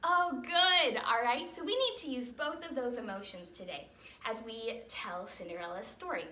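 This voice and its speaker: A woman teaching a ballet lesson to young children in an encouraging, animated way, explaining things simply and checking in with questions. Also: on the high side. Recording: roomy echo, distant mic, faint noise.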